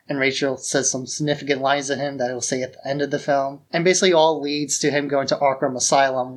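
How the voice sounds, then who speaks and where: A man co-hosting a podcast, chatting casually about film in an informal, enthusiastic way. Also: on the high side. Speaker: podcast co-host.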